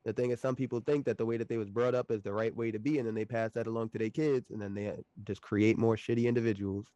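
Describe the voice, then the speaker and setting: A man's voice: on the low side, fairly even intonation, fast, dark tone, no room sound, muffled recording. Laid-back man in his late twenties. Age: late 20s. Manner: laid-back, loose, laughs often, swears casually. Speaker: podcast guest. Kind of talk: casual podcast chat.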